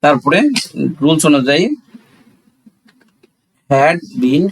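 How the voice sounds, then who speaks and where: A man's voice: a little high, drawn-out words, a little hiss or hum, rough recording. A man with a Bangladeshi accent teaching a grammar lesson step by step, often posing questions and answering them himself. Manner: instructional, asks and answers own questions, switches between Bengali and English. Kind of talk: classroom-style lesson, explaining step by step.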